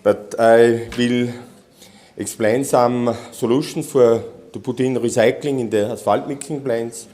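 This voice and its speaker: A man from Austria, a native German speaker, giving a technical conference presentation in halting English with many restarts. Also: mid-range voice, steady tone, warm tone. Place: conference hall.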